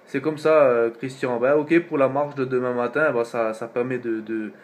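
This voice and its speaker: A man's voice: mid-range voice, fairly even intonation, moderate pace. A man chatting casually as an amateur radio operator, colloquial and repetitive, with lots of little tag words. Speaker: amateur radio operator filming his contacts. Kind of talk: casual radio contact chat.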